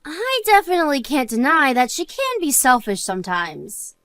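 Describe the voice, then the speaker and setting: A woman's voice: high voice, wildly swooping pitch, normal speed, fairly bright tone, no room sound, full character voice. A woman playing an earnest married woman and loyal friend in a scripted story drama. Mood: calm, chatty.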